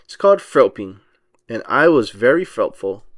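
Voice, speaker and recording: A man's voice: medium pitch, big pitch swings, normal speed, no echo, clean studio sound, voice pushed down. A man voicing a simple, good-hearted cartoon starfish, goofy and guileless, in a storybook reading. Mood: proud.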